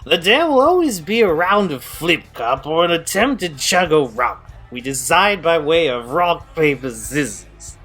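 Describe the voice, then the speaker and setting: A man's voice: a little high, very animated, measured pace, few pauses, a bit bright, husky, faint music behind, heavily acted. A man playing a drunk, eager college partygoer in an audio drama, rambling and hesitant. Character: really drunk, eager college-age male partygoer explaining games.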